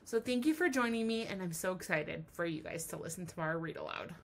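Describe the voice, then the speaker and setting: A woman's voice: lowish voice, expressive, brisk, creaky. A woman teacher giving a children's read-aloud lesson, speaking expressively and explaining things in simple terms.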